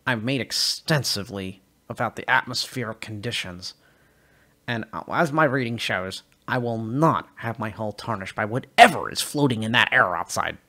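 A man's voice: on the low side, expressive, moderate pace, pushed and bright. A man doing a pompous, droning male customer.

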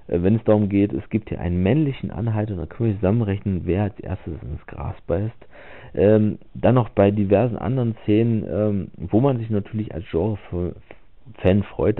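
A very deep-voiced man with a German accent talks through a film review, pausing often with fillers. The recording is dry and tinny, like a phone line. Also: no room sound. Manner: casual, many hesitations and fillers. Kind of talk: spoken film review voice-over. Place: voice-over over film clips.

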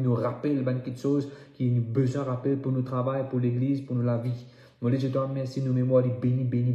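Male prayer leader, soft-edged mellow tone, repetitive incantatory delivery, roomy reverberant sound. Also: mid-range voice. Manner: incantatory, repeating phrases with emphasis. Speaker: preacher leading prayer. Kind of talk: fervent prayer and declaration, repetitive. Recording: echoey room sound.

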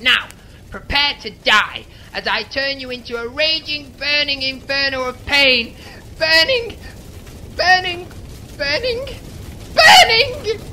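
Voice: high voice, lively intonation, takes it slowly, sharp tone, slight background noise, heavily acted, rough recording. An actor voicing a hapless, theatrically boastful wannabe supervillain in a comedy audio drama, grandiose one moment and meekly awkward the next. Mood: menacing, manic. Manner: grandiose threats collapsing into meek mumbling.

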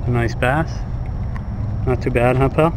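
A man in his forties on a small fishing boat, chatting excitedly to an action camera and his dog about his catches. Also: mid-range voice, unhurried, background noise. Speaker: fishing vlogger. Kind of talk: casual outdoor commentary to camera and dog. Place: small fishing boat on open water outdoors. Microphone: action camera at close range.

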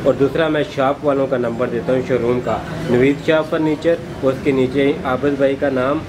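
A man's voice: medium pitch, background noise. A man with a Punjabi-influenced Urdu accent, a vlogger host who chats to viewers and repeats the prices back while he asks questions. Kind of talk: casual vlog interview. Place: furniture showroom, indoors.

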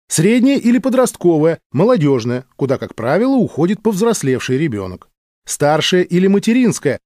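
A man reading a science fiction audiobook in a measured, formal, clearly articulated way. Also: fairly high pitch, darkish tone, no echo, studio quality. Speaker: audiobook narrator.

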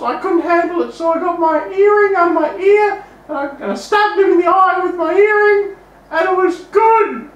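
A man voicing a silly high-voiced guest who rambles absurd nonsense and swears. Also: fairly bright tone. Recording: echoey room, distant mic.

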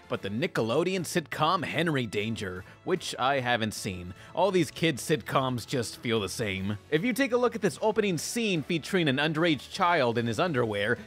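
Sarcastic man narrating with lively pitch. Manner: sarcastic, mocking. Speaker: host of a cartoon review show. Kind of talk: scripted review narration with sponsor read. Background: music bed.

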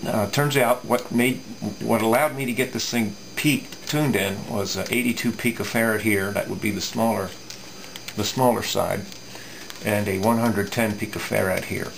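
A middle-aged man giving a casual hands-on technical explanation, thinking aloud haltingly with folksy asides. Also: on the low side, steady tone, creaky, slight background noise, low-quality recording. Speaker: radio repair hobbyist presenting. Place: indoor electronics workbench.

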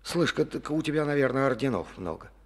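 An actor playing a boastful, rambling middle-aged district boss with folksy speech, in an old radio play. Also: male voice, medium pitch, lively intonation, fairly quick, husky. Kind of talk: Soviet radio play acting. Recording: old studio radio recording.

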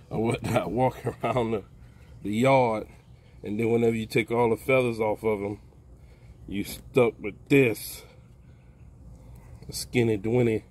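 An older man giving a relaxed, folksy how-to narration outdoors in a backyard while he works with his hands. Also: fairly low pitch, a little hiss or hum. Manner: folksy, relaxed, talks while plucking, calls out "baby". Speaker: backyard homesteader. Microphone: phone camera held close, hands-on filming.

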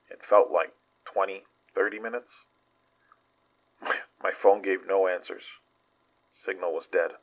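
Man acting a low-voiced, wary, sardonic investigator. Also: fairly bright tone, full character voice, low-quality recording. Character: wary, sardonic male investigator recounting a frightening descent. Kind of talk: naturalistic horror audio drama acting.

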